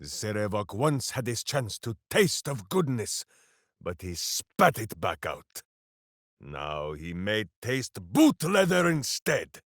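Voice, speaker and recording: Simple-minded heroic warrior, boisterous, grandly theatrical, swooping intonation, unhurried delivery, dry close sound, video game character. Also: male voice, fairly low pitch, no room sound, exaggerated voice. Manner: boisterous, theatrical, speaks of himself in third person. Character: big-hearted, dim, bombastic male warrior hero with hamster.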